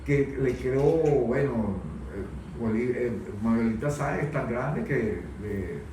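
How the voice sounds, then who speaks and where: A man giving opinions as a panel guest, haltingly, often repeating small words as he searches for phrasing. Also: medium pitch, warm tone. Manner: halting, stammering repetitions of words. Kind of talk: opinionated unscripted discussion. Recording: very echoey room, distant mic, faint noise.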